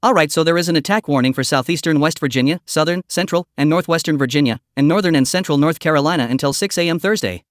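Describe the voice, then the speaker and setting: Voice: mid-range voice, fast, few pauses. A presenter improvising dramatic mock breaking-news commentary for a fictional emergency scenario.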